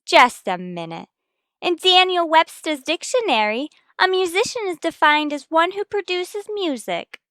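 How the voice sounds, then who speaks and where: A woman playing a brash, sassy tavern owner's daughter in an old-time radio comedy, firing back quick comebacks. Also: extremely high pitch, expressive, sharp tone, no room sound, clean studio sound, exaggerated voice.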